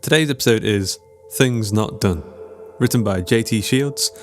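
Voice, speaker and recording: A man's voice: lowish voice, unhurried, soft background music. A man hosting a podcast, reading a scripted introduction to the show. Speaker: podcast host.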